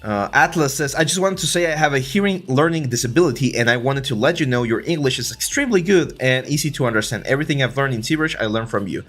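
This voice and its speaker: A man with a Mexican accent talks through his 3D modeling work on a livestream, easygoing and full of "uh" and "like". He speaks into a desk mic, and soft music plays faintly underneath. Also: mid-range voice, fast, few pauses. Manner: relaxed, filler-heavy, many restarts. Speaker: 3D art instructor and livestream host. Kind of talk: casual livestream tutorial, reading chat.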